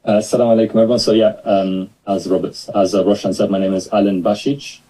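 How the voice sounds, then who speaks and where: Soft-spoken young man on a video call. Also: on the low side, little pitch movement, fast, poor audio. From London. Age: 20s. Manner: soft-spoken, hesitant, many fillers. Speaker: guest, new staff member. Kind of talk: casual interview over video call.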